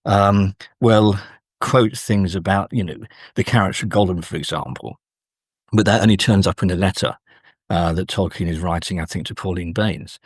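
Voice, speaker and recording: A man speaking as a scholarly interview guest, explaining in a thoughtful, halting way with frequent pauses mid-sentence. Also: low voice, husky, no echo, clean studio sound. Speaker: guest academic and author.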